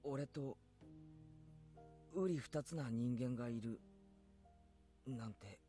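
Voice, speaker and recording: An actor playing an earnest, uneasy teenage schoolboy in a visual novel, with hesitant phrasing. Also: male voice, medium pitch, unhurried, mellow, faint music behind, close to an everyday voice. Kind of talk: Japanese visual novel voice acting.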